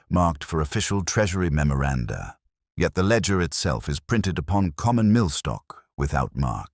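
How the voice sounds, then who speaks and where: A man voicing a grave, brilliant gentleman detective in a storybook, formal, measured and oratorical. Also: extremely low pitch, no room sound, studio quality, exaggerated voice. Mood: grave, explaining.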